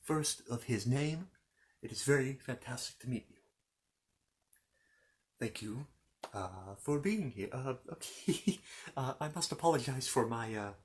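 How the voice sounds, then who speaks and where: Casting: male performer as a courteous, bookish fantasy knight for ASMR roleplay, mid-pitched, very lively intonation, stammering and apologetic. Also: natural voice. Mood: flustered, polite. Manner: halting, stammering, apologetic, chatty. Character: polite, bumbling, bookish knight from a fantasy kingdom. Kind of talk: improvised ASMR character roleplay.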